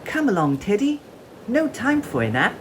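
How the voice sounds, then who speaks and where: A woman voicing a defiant, excitable, imaginative little girl in a children's storybook, boastful and theatrical. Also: mid-range voice, moderate pace, slight background noise, voice pushed up. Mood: defiant, adventurous.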